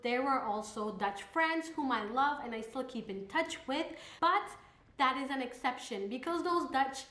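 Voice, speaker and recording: A woman's voice: high voice, a bit bright, roomy sound. A young woman from Turkey, talking casually and sarcastically to camera as a vlogger. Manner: sarcastic, chatty, upbeat.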